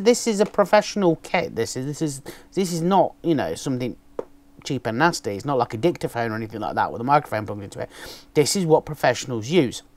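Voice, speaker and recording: A man, casually chatting through an unboxing, with a naturally loud voice and a rambling way of talking full of ums. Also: medium pitch, a little hiss or hum. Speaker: motovlogger reviewing gear. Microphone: external microphone on a camera.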